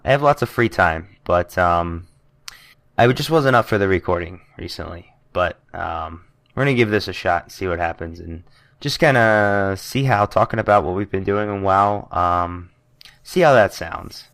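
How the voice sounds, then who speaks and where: A young man with a very low voice co-hosting a relaxed podcast. He hesitates often, fills gaps with ums and drops in dry jokes. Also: moderate pace. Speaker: podcast co-host. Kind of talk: casual chat.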